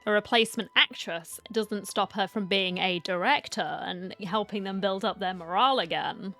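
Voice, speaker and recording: A woman's voice: medium pitch, normal speed, sharp tone. A woman giving casual reaction commentary as a game streamer, informal and given to brief interjections. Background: game music.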